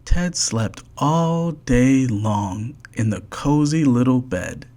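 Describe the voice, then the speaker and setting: A storyteller reading a children's storybook aloud in a deliberate, expressive way, with a medium-pitched voice. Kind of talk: scripted children's storybook narration.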